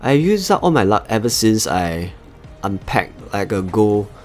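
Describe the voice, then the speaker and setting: A man with a Singaporean accent and a fairly low voice hosts a live gaming stream. He chats casually with his viewers while he plays, with faint music behind him. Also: big pitch swings, measured pace, a little mellow. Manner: chatty, talks to viewers as he plays. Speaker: livestream host.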